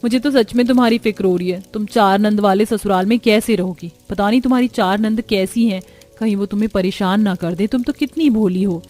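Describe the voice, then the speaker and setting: A woman's voice: mid-range voice, a little fast, a little mellow, lightly acted. A woman voicing a protective young sister-in-law who gives urgent, concerned warnings in a cartoon family story. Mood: worried. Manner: urgent, cautioning.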